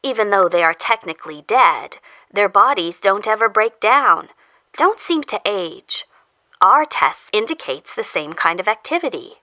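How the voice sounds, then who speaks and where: Woman acting a bright, clinical researcher. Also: medium pitch, expressive, measured pace, dry recording. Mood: clinical, matter-of-fact. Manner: matter-of-fact, with delicate hesitations. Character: clinical, persistent woman researcher studying zombie infection. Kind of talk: audio fiction acting.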